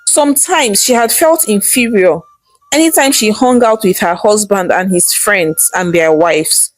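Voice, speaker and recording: A woman's voice: mid-range voice, measured pace, faint music behind, rough recording. A woman narrating a scripted folktale in a dramatic storytelling style.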